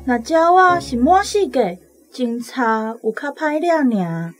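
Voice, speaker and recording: A woman playing a plain-spoken, excitable villager in a radio drama, with a Taiwanese accent. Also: high voice, takes it slowly, soft background music. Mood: excitable, puzzled.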